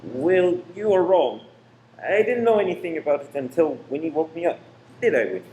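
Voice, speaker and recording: A man's voice: on the high side, lively intonation, normal speed, mellow, lightly acted. A man acting an evasive, grumbling husband and cinema owner in an amateur radio play, defensive in manner. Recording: echoey room with faint noise.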